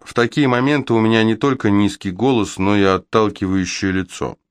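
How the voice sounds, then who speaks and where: Guy reading confident teasing young woman in a novel, frank, lowish voice, dry recording. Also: fairly even intonation, brisk, smooth, no echo, close to an everyday voice. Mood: frank, open. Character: self-assured, teasing young woman cellist.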